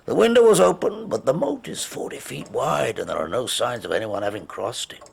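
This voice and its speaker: An actor playing a proud, eager and slightly prickly country police detective in a radio drama, with emphatic delivery. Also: male voice, medium pitch, lively intonation, brisk, gravelly, husky, heavily acted. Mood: eager, explaining.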